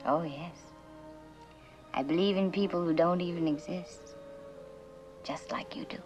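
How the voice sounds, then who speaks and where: A wry, enigmatic woman, played by an actress with a fairly low voice, in an old suspense drama. She talks in a playful, teasing, philosophical way over background music. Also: a little slow, darkish tone, close to an everyday voice.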